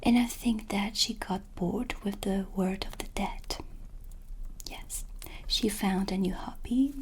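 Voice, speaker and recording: Woman playing a slow, whispering kindly witch. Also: mid-range voice, steady tone. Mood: warm, confiding. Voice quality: breathy, whispery soft voice. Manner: gentle, unhurried, confiding. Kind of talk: ASMR cinematic roleplay. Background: ambient music.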